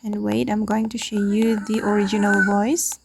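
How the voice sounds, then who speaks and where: Creaky-voiced woman hosting a tutorial. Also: medium pitch, flat delivery, normal speed. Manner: informal, addresses viewers as guys. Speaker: tutorial host. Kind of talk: casual step-by-step screen tutorial narration.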